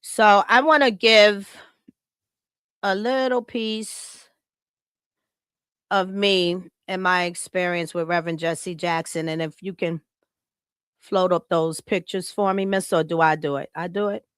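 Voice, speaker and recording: A woman's voice: on the low side, steady tone, measured pace, long pauses, a bit bright, smooth, no room sound, studio quality. A woman from Chicago co-hosting a live podcast, talking casually and reading a heartfelt written tribute aloud. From Chicago, United States. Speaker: podcast co-host.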